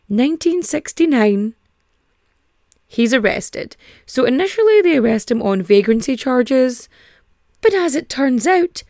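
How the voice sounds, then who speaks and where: Young woman, lively sweary true-crime narrator, higher voice, dry close sound. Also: dry recording. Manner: animated, sweary, acts out quotes in mock voices. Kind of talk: casual storytelling.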